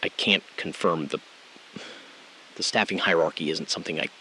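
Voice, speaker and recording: A man playing an uneasy, evasive ground controller in an audio drama, using halting, formal bureaucratic phrases. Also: low-pitched, sharp tone, breathy edge, full character voice, poor audio. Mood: nervous, evasive. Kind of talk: scripted audio drama acting.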